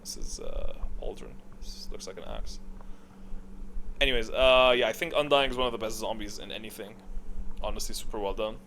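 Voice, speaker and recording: A man's voice: fairly low pitch, steady tone, a little fast, a bit bright, slight background noise. A man giving casual, off-the-cuff gaming commentary, thinking aloud in a rambling, blunt way.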